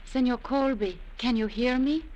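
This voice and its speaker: An actress playing a gentle, dutiful young woman with a Spanish accent, speaking formally and softly in a 1940s radio drama. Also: a little high, normal speed, muffled recording, lightly acted. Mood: gentle concern. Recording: old 1940s radio recording.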